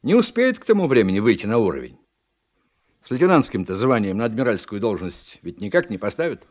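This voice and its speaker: A senior naval officer in a radio drama. He speaks in a low voice as a gruffly kind, fatherly mentor. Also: very animated, a little fast. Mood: matter-of-fact. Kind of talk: radio play acting.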